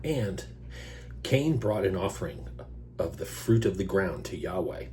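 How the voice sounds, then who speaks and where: A man hosting a scripture reading, stopping for casual, self-deprecating asides and clearing his throat through his allergies. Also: sits low, very animated, a little slow, darkish tone. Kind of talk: Bible reading with informal commentary. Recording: noisy recording with electrical hum.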